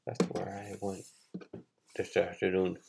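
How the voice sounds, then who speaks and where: A young man casually hosting a show to camera in a halting, mumbled way from a bedroom. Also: low voice, monotone, gravelly, a little mellow, breathy edge. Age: young adult. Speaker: host. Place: small bedroom, indoors.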